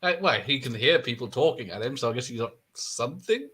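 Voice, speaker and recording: A man's voice: medium pitch, expressive, brisk, bright, forceful tone, husky. A man co-hosting a film watch party, chatting casually, teasing the others and laughing often. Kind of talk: casual chat.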